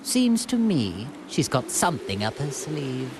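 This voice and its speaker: A man's voice: mid-range voice, very animated, measured pace, noisy background. A man voicing a haughty, vengeful alien tyrant in a video game cutscene, with an imperious, precise manner. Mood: scheming, cold. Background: loud music.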